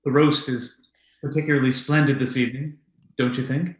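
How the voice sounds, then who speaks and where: An actor playing an earnest, secretly lovelorn husband in a formal, theatrical old-time radio drama style. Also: male voice, medium pitch, steady tone, a little fast, natural voice. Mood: politely formal. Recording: roomy, echoing sound.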